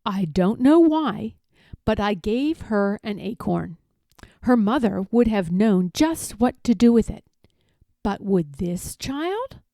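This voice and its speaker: Woman playing a vain, theatrical pampered dog, unhurried. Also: mid-range voice, expressive, vocal fry, dark tone, no echo, studio quality. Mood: haughty. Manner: theatrical, self-important, dramatic pauses. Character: vain, indignant, lovelorn pampered palace dog narrator. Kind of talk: expressive storybook read-aloud.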